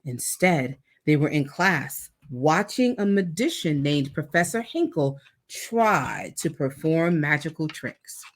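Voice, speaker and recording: A woman reading a children's picture book aloud as a storytime host, expressive and deliberate for a young listener. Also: low voice.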